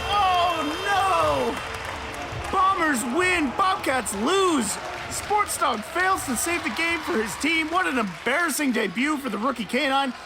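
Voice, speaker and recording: An actor playing a frantic, melodramatic sports announcer calling basketball play by play in a radio comedy. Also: high voice. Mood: crushed, scathing. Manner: excitable, dramatic. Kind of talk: basketball commentary. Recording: noisy arena crowd behind.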